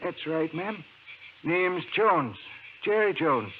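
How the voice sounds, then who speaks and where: High-pitched folksy old miner. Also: male voice, lively intonation, takes it slowly. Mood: urgent, pleading. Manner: rustic, clipped folksy talk. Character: folksy old male miner, anxious and pleading. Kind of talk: 1950s radio acting. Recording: noisy narrow-band 1950s radio recording.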